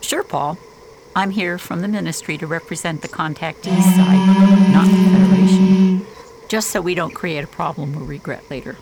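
Actress as skeptical woman psychologist, low pitch, vocal fry, assertive, dry, sci-fi radio drama. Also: fairly even intonation, normal speed, heavy background noise, close to an everyday voice. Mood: earnest, cautioning. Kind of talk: science fiction radio drama acting.

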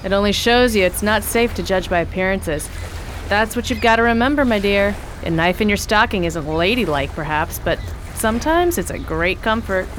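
A woman's voice: mid-range voice, expressive, moderate pace, natural voice. A woman playing a tough, worldly woman adventurer aboard a coastal steamer who talks in blunt, streetwise slang, recorded as a radio drama with noticeable hiss. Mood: knowing. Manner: blunt, knowing, protective. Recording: noisy hissy recording.

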